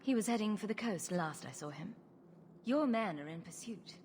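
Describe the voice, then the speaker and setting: A woman playing a confident, sardonic fantasy game heroine. She is glib and wry at a middling pitch, with clear ambient noise behind her. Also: expressive, moderate pace, darkish tone, close to an everyday voice. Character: wry, self-assured heroine adventurer. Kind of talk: video game acting.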